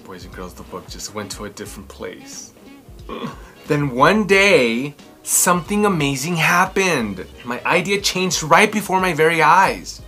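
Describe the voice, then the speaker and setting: A man in his thirties hosting a children's read-aloud, telling stories and chatting in a theatrical, emphatic way with drawn-out words. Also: a little high, very animated, sharp tone, faint music behind. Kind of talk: expressive storytelling and chatty commentary for children. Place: small furnished room indoors.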